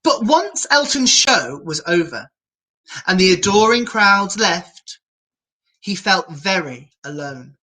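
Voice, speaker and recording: A woman's voice: medium pitch, very animated, slow, pushed and bright, rough recording. A woman reading a picture book aloud to children in a theatrical, playful manner, with asides to the viewers. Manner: theatrical, playfully self-mocking. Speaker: children's storyteller, drag queen.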